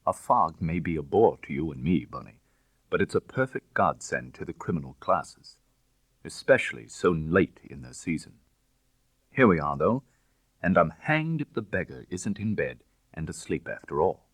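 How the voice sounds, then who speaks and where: Guy reading as a suave gentleman crook, low husky voice, jaunty n teasing, bossy in a breezy storybook way. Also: very animated, moderate pace, no room sound, full character voice. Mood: jaunty, confident. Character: suave cynical gentleman burglar, breezy and commanding. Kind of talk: dramatised audiobook reading of classic fiction.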